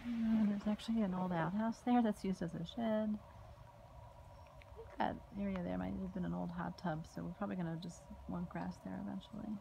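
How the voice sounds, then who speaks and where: A woman giving a casual walking tour of a house and its backyard, filmed on a handheld phone, with chatty remarks that trail off into soft asides. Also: mid-range voice, steady tone, normal speed, gravelly, a little hiss or hum, poor audio. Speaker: home tour vlogger. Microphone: phone camera held while walking.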